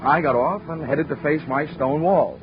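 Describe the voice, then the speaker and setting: An actor playing a wry, flirtatious insurance investigator with deadpan delivery in 1940s radio detective drama. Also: male voice, on the high side, moderate pace, lightly acted. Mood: wry, dry. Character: wisecracking flirtatious male freelance insurance investigator. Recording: dull vintage radio recording with music behind.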